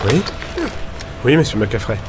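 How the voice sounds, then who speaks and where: A man's voice: medium pitch, animated, unhurried, over music, natural voice. A man acting as an earnest, anxious ocean scientist in an audio drama. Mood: uneasy, puzzled. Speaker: voice actor.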